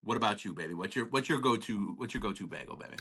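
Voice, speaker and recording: A man's voice: on the low side, lively intonation, quick pace, a bit bright, breathy edge. A man co-hosting a casual music podcast, talking in a rambling, slangy way and calling his partner "baby". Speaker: podcast co-host. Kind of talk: casual chat.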